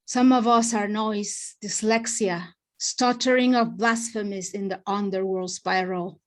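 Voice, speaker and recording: A woman recites spoken-word poetry as a slam poet. Her pitch sits in an ordinary middle range, and she sets out each phrase slowly and with deliberation.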